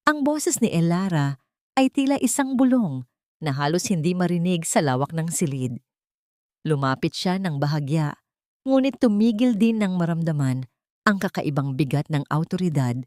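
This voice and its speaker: A woman with a Filipino accent narrating a scripted dramatic story in a measured, literary way. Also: lowish voice, darkish tone, no room sound, studio quality.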